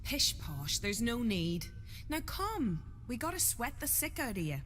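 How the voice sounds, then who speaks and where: An actress playing a cheeky, gossipy young village girl in a video game, with a teasing, folksy, expressive manner. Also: fairly high pitch, unhurried, faint music behind. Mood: brisk, breezy. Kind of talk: video game cutscene acting.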